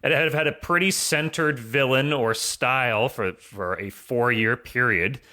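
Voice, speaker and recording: A man giving casual analysis as a podcast guest, building his points in halting repetitions. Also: mid-range voice, bright, forceful tone.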